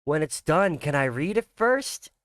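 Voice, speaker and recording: Man putting on young daughter, visual novel character, pitched up from his own voice, eager and curious. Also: big pitch swings, unhurried, fairly bright tone, no echo, studio quality.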